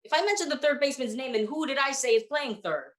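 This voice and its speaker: Woman as an exasperated radio comedy sidekick, high-pitched. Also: lively intonation, fast talker, roomy sound. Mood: puzzled, insistent. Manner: stammering, insistent questioning. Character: exasperated, bewildered baseball-loving comic sidekick. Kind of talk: 1940s radio comedy routine.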